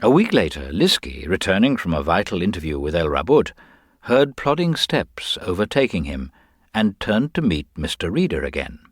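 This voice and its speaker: A man narrates a crime story in a deep voice with wide, lively intonation. The delivery is scripted and dramatic, recorded close and dry. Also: moderate pace, dry recording.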